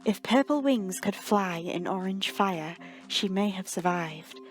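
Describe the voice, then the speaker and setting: A woman reading a scripted story as narrator, measured and dramatic, with deliberate pauses. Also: medium pitch, animated, soft background music, rough recording.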